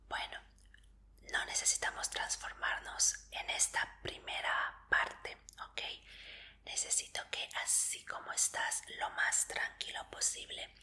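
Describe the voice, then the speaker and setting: Slow, whispering woman as a gentle medical examiner. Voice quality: soft breathy whisper. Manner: slow, soothing, polite instructions with encouragement. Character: gentle, attentive female medical examiner preparing a fighter. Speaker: ASMR roleplayer. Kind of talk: whispered Spanish ASMR medical roleplay.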